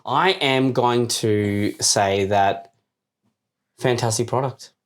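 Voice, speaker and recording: A podcast co-host in casual comedic banter, sarcastic and often rattling off the same word several times. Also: mid-range voice. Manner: rapid repeated words, sarcastic. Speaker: co-host of a tech news podcast.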